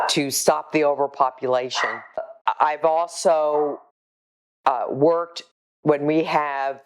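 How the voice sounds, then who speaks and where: Slow, low-voiced older woman. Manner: earnest, deliberate, firm. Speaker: animal shelter volunteer. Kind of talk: casual spoken account to camera, showing photos.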